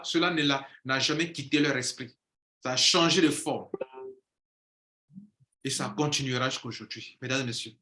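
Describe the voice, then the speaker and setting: A man's voice: a little high, sharp tone, echoey room. A man speaking as a coach in an impassioned monologue, declaiming and repeating phrases for emphasis.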